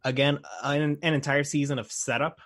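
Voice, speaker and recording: A man's voice: a little high, level tone, normal speed, fairly bright tone. A man co-hosting a casual podcast, blunt in his opinions and given to swearing. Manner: blunt, profane. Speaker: podcast co-host. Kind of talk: casual chat about film and game adaptations.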